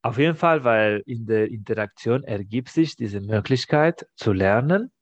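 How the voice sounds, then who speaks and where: A man's voice: mid-range voice, animated, no room sound. A man whose first language is Spanish, hosting a podcast in German, speaking thoughtfully and hunting for his words. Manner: thoughtful, halting, searching for words. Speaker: podcast host. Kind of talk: casual interview chat. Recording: dull, dry, muffled.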